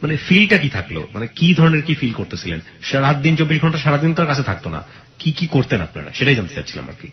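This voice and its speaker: A male radio host who chats with a caller and probes her story with questions and steady murmured acknowledgments, heard through a dull radio broadcast. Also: a little high, quick pace. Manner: probing, frequent murmured acknowledgments. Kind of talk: radio call-in advice chat. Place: radio studio.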